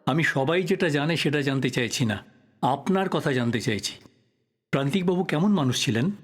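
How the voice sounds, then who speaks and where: A man's voice: fairly high pitch, lively intonation, a little fast. A man playing a polite but persistent investigative journalist in an audio drama, asking courteous questions conversationally. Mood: probing, pointed. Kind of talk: Bengali audio drama acting.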